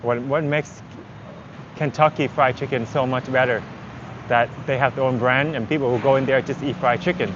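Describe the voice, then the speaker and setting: Man livestreaming over loud street traffic. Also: mid-range voice, fairly even intonation, moderate pace, lo-fi sound. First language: Vietnamese. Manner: rambling, conversational, thinking aloud. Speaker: livestream travel vlogger. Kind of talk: casual walking livestream chat. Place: outdoors on a busy city street. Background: traffic, vehicles, urban street noise.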